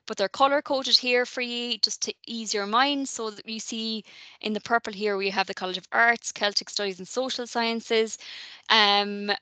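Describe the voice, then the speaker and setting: A woman's voice: a little high, pushed and bright, dry recording. A woman giving a friendly, chatty presentation to prospective university students, with many ums and "okay?" check-ins.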